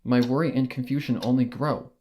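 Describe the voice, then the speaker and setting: A man's voice: mid-range voice, fairly even intonation, a little fast, a crowd in the background. Man reading a brooding horror tale. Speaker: fanfic narrator. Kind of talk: first-person horror story reading.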